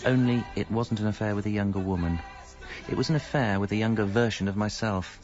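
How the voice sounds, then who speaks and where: A man's voice: fairly low pitch, a little fast, darkish tone, close to an everyday voice. A man acting a guilt-ridden married father in a British radio comedy drama, in a British accent, with a wry, confessional manner. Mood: rueful, confessional. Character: guilt-ridden married father narrating his double life. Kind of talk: scripted first-person narration and acting in radio comedy drama. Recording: dull, muffled audio with music.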